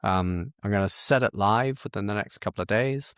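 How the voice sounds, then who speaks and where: A man hosting a casual software tutorial, thinking aloud and correcting himself as he works through the screen in an informal way. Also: on the low side, normal speed, smooth, no room sound, clean studio sound.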